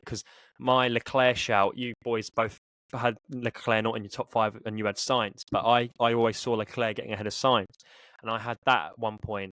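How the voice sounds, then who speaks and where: A man's voice: lowish voice, fairly bright tone, no room sound. A man co-hosting a sports podcast in a casual, chatty style. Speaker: podcast co-host.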